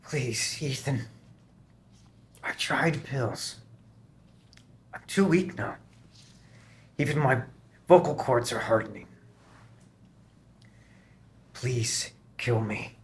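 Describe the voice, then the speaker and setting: An actor playing a weak, dying man with a raspy, scratchy voice who struggles to get his words out at a table read. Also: mid-range voice, animated, very slow, pauses often. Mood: pleading.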